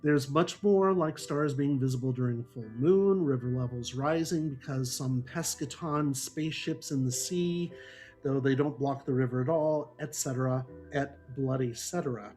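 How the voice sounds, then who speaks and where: A man's voice: mid-range voice, normal speed, a little mellow, faint music behind. A man hosting a book review discussion, speaking in a wry, articulate and emphatic way. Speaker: podcast host and moderator; English composition teacher. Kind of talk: book review discussion, partly reading prepared notes.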